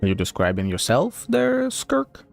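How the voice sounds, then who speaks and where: A man's voice: mid-range voice, wildly swooping pitch, unhurried, creaky, faint music behind. A man doing casual live game-stream commentary, playfully muttering asides and echoing dialogue.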